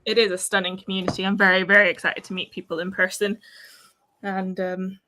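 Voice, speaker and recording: A woman's voice: mid-range voice, level tone, brisk, sharp tone, rough recording. A woman from Wales with an accent mixing Welsh, northern English and Northern Irish, chatting casually and reading viewer comments on a livestream, with plenty of fillers and laughs. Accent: mixed Welsh, northern English and Northern Irish. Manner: chatty, giggly, lots of fillers. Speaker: livestream co-host.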